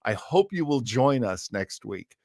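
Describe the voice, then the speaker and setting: A man hosting a livestream, chatting casually to viewers in a halting, enthusiastic way. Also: mid-range voice, sing-song, darkish tone, no room sound, studio quality. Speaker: livestream host. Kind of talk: casual live chat to viewers.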